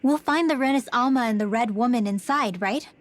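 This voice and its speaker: A woman's voice: a little high, fairly quick, lightly acted. A woman voicing a spirited, cheeky young girl companion in a video game, with an eager manner. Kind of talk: video game dramatic acting.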